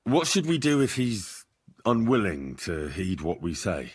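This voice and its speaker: Low, husky young diplomatic companion. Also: male voice, big pitch swings, measured pace, full character voice. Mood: inquiring. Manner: tactful, plain-spoken, diplomatic. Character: tactful young man-at-arms, calm and diplomatic. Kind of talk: video game cutscene acting.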